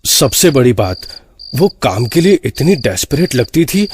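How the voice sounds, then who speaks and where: A man playing a TV writer who tells his own horror story in a conversational, expressive way. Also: mid-range voice, a little slow, noisy background. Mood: puzzled. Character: puzzled male Mumbai TV writer recounting eerie experience. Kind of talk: first-person horror drama narration.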